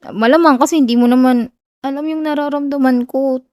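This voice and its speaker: A young Filipino woman acting a whiny, shy tsundere college girl confessing love in a soft, hesitant ASMR roleplay. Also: high-pitched, steady tone, fairly quick, no room sound, close to an everyday voice.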